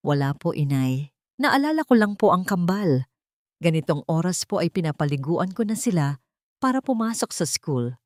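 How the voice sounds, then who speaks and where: Woman playing a gentle, wistful housemaid. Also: lowish voice, big pitch swings, moderate pace, no echo, clean studio sound. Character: gentle, wistful young housemaid caring for her mother.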